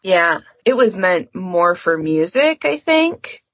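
A woman's voice: lowish voice, sing-song, slow, no room sound, muffled recording. A woman hosting a fandom podcast in a casual, informal and explanatory way, with some hedging. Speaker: podcast host.

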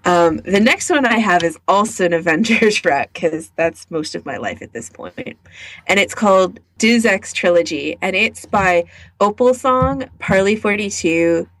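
A woman's voice: mid-range voice, expressive, measured pace. A woman co-hosting a podcast, chatting casually and informally as she gives recommendations, with a giggly streak. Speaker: podcast co-host. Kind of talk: casual chat recommending picks.

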